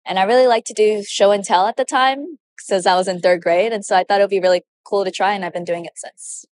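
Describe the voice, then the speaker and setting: A woman's voice: mid-range voice, steady tone, quick pace, darkish tone, no echo. A young woman in her teens giving casual interview answers as a student speech competitor.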